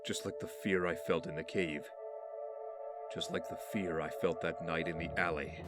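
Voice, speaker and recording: An actor playing a brooding, wounded young man in a grave, halting, intense audio drama monologue. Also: sits low, fairly even intonation, lightly acted. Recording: loud dramatic music over the voice.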